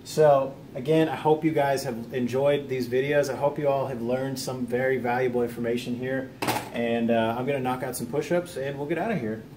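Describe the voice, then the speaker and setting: A man's voice: medium pitch, steady tone, normal speed, background noise. An American man giving an informal instructional vlog talk in an earnest, plain-spoken, encouraging manner. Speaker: video host teaching self-help lessons.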